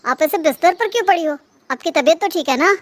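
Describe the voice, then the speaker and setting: A woman's voice: very high-pitched, lively intonation, fast, no room sound. A woman voicing a concerned, questioning adult younger brother in a cartoon moral story. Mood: worried.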